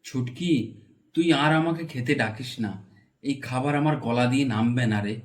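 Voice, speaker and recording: A man plays an aggrieved father in a cartoon folk tale. His voice sits at a medium pitch and carries sullen, wounded resentment. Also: normal speed, natural voice. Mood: sullen, hurt. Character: sulking, hurt village father.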